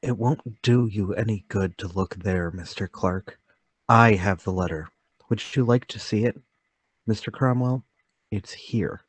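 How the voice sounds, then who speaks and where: A man's voice: on the low side, unhurried, slightly rough, dry recording. A man playing a meek, bewildered murder suspect, speaking hesitantly and politely in a mystery radio play table read. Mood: quietly insistent.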